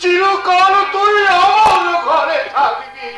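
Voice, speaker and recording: Loud, high-pitched, protective father. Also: lively intonation, takes it slowly, echoey room, a loud crowd, heavily acted. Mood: protective, pleading. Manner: loud, declamatory. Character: indignant, protective father of a married daughter. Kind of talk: Bengali jatra folk-theatre melodrama.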